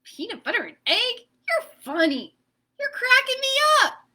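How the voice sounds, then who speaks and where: A woman doing a squealing giggly egg boy, very high, loud, bright, storybook. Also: very animated, unhurried, heavily acted.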